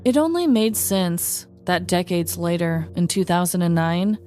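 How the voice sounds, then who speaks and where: Host reading a comedic true-crime script, low-pitched woman, dry wit, soft music bed. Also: measured pace. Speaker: podcast host and storyteller.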